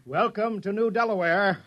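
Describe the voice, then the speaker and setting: An actor playing a fussy small-town mayor in an old radio drama, earnest and eager to please, often stammering. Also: male voice, sits high. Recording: dull vintage radio recording.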